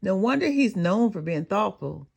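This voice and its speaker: A woman's voice: mid-range voice, lively intonation, normal speed, vocal fry, a little mellow, breathy edge. A woman voicing an earnest, tender-hearted young schoolboy in a children's storybook, speaking in simple phrases. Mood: admiring, eager. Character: earnest, tender-hearted second-grade schoolboy telling his feelings.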